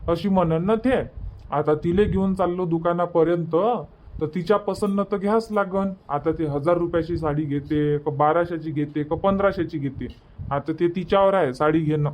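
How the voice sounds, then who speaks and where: A man's voice: on the high side, steady tone, normal speed, a little mellow, close to an everyday voice. A man voicing an impatient, bossy village family man in a cartoon comedy, rambling in colloquial Vidarbha Marathi. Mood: matter-of-fact.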